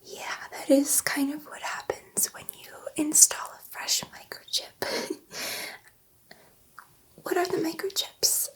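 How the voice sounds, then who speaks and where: A soft, breathy whisper carries this woman's portrayal of a female alien scientist in an ASMR sci-fi roleplay. She takes her time, with unhurried phrasing and a high, delicate pitch. She is gentle and reassuring, a little nervous and prone to rambling. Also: steady tone. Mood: gentle, flustered. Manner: hesitant, rambling, reassuring, easily sidetracked.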